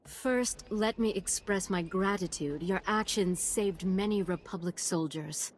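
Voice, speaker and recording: A woman's voice: medium pitch, measured pace, a little hiss or hum, lightly acted. A woman playing a businesslike army colonel in a video game, formal and fair-minded. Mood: formal, firm.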